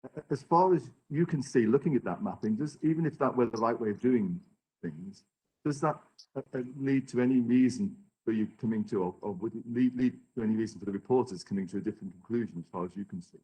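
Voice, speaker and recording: A man who is losing his voice leads a witness through questions in a halting way, clearing his throat often. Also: medium pitch, a little mellow, slight background noise, poor audio. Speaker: advocate. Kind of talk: examination in chief.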